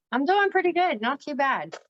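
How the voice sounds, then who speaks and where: A woman's voice: sits high, sing-song, a little slow, dull audio. A woman speaking as a podcast host, introducing and questioning a guest in a casual interview style.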